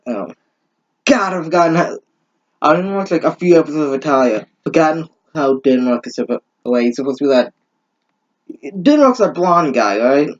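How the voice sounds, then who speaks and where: A man's voice: fairly high pitch, lively intonation, moderate pace, pauses often, lo-fi sound. A man giving a mocking reading of fan fiction, breaking in with exasperated, sarcastic, sweary asides. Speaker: host of a bad-fanfiction reading series.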